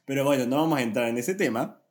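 A man's voice: mid-range voice, lively intonation, fast talker. A man with an Argentine Spanish accent, co-hosting a podcast and explaining an article in a casual, meandering way. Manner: explanatory, rambling with restarts. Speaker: podcast co-host. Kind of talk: casual chat.

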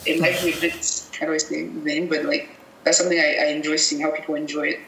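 Bright-voiced woman from Cameroon, rambling. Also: sits low, little pitch movement, fast, gravelly. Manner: rambling, self-correcting, thinking aloud. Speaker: guest videographer. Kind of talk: casual interview answers. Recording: echoey room with faint noise.

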